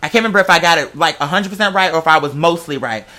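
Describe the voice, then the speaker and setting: Sassy man with a high, bright voice. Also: rapid-fire. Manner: sassy, slangy, profane, theatrical. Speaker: vlogger. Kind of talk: casual storytelling to camera.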